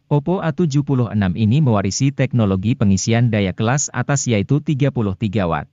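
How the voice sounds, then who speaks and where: A man's voice: mid-range voice, darkish tone, dry recording, dull audio. A man with an Indonesian accent reading a scripted gadget review in a formal, informative way, as a voice-over close to the microphone. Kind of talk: scripted narration for a product review.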